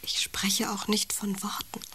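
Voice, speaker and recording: An actress in a radio play playing a caring, persistent wife, soft and coaxing, with a very breathy, half-whispered voice. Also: female voice, medium pitch, completely flat delivery, measured pace. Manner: soft, coaxing, nagging gently. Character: gentle, caring, persistent wife of taciturn man. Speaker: radio drama actor. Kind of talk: intimate German radio play acting.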